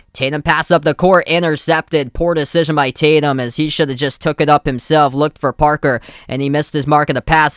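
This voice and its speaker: A young man with a Boston accent calls basketball play-by-play for his live stream in quick, clipped bursts. His voice sits a little high and comes through clean and smooth, with a dry, close sound and no room echo. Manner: steady running commentary in short clipped phrases. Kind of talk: amateur play-by-play commentary.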